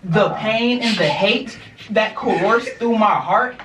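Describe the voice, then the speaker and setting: A young man co-hosting a podcast, telling a childhood story in an animated, slangy, laughing way. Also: sits high, slow. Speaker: podcast co-host. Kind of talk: casual storytelling. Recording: roomy, distant mic with faint noise.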